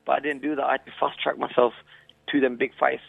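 A man in his twenties, a professional boxer, answering interview questions over the phone in a halting way, often saying "you know" and "I mean.". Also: mid-range voice, little pitch movement, gravelly, slightly rough, no room sound. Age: 20s. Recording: tinny dry phone line.

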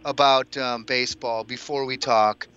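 A man's voice: medium pitch, fairly even intonation, normal speed, pushed and bright, clean tone. A man hosting a radio sports show, chatting loosely with plenty of fillers. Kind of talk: casual sports talk. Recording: dull lo-fi broadcast sound.

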